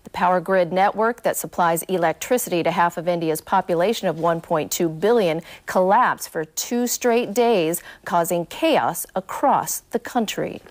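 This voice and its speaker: A woman's voice: fairly low pitch, moderate pace, hardly any pauses. A woman reading scripted news stories as a news anchor. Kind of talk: scripted newsreel narration.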